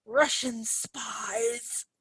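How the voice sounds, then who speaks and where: A woman playing an emotional long-lost spy mother with halting, theatrical delivery in a stage play. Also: a little high, steady tone, creaky, a bit bright, husky, no echo, exaggerated voice. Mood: emotional, tender.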